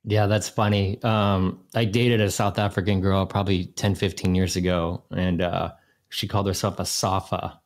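Lower-voiced man hosting a video chat. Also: little pitch movement, normal speed. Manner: friendly, chatty, with fillers. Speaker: podcast host. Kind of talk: casual interview. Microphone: video call.

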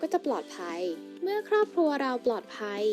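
A woman's voice: sits high, sing-song, fairly quick. A woman reading a scripted instructional narration for a public health video. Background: music.

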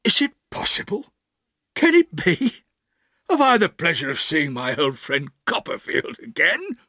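A man voicing a pompous, grandiloquent older gentleman in an audiobook, theatrical and emotional in his delivery. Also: a little high, wildly swooping pitch, a little slow, dry recording, studio quality, pitched above the performer's own voice, exaggerated voice. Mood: delighted, effusive. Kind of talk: audiobook character acting.

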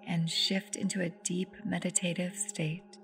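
A woman with a fairly low voice guides a meditation softly and soothingly. She takes her time, leaving pauses and letting phrases trail into breathiness. Singing bowl music plays clearly behind her. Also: flat delivery. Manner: soothing, unhurried, with long pauses. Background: meditative music with singing bowls.

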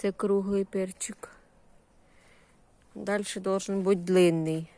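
A woman's voice: medium pitch, steady tone, slow, mellow. A woman with a Ukrainian surzhyk accent giving a casual, unscripted garden tour in short, homely phrases full of diminutives. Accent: Ukrainian with Russian-influenced surzhyk words. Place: walking through a vegetable garden and greenhouse.

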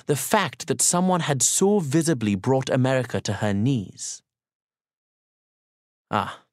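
A man playing a courteous young Pakistani man who confesses his story in formal, measured audiobook narration with deliberate pauses. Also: medium pitch, no echo, clean studio sound. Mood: earnest, defensive. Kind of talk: first-person audiobook narration as dramatic monologue.

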